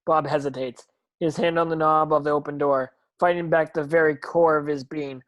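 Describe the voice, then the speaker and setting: A man's voice: fairly high pitch, steady tone, moderate pace, a bit bright. A man reading stage directions as narrator in an amateur table read, occasionally tripping over words and rereading them.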